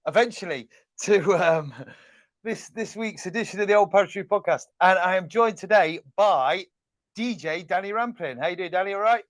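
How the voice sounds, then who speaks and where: A man's voice: sits high, moderate pace, no room sound. A man hosting a podcast, chatty and self-deprecating, hesitating often with fillers, talking over a video call. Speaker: podcast host. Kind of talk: casual podcast interview.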